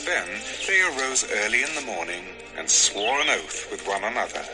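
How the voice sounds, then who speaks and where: A male narrator tells a scripture story over clear music. His mid-pitched voice moves expressively up and down, and his delivery is measured and scripted. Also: big pitch swings.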